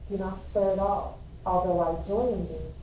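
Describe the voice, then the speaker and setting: A woman's voice: mid-range voice, steady tone, normal speed, lo-fi sound, close to an everyday voice. A woman reading the part of an earnest, anxious young girl in love in a Shakespearean verse drama. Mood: tender, reluctant. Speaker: amateur reader in a school play. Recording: roomy, distant mic, faint noise.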